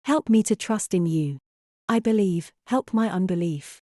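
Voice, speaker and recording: A woman acting as an earnest wife and mother full of doubt. Her voice is mellow and gentle-edged, at a middling pitch. The audio drama dialogue is recorded dry and close. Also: a little slow, no echo, close to an everyday voice. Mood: pleading, repentant.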